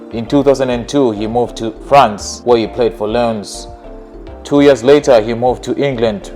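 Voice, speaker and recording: Male narrator, mid pitch, steady scripted delivery, faint music under the voice. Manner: even, list-like reading of facts.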